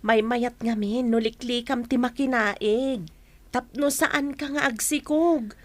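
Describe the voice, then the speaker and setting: Blunt, questioning friend, woman actor: high pitch, chiding edge, dry recording, audio drama. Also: steady tone, slow, no room sound. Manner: pointed, questioning. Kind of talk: Ilocano audio drama acting.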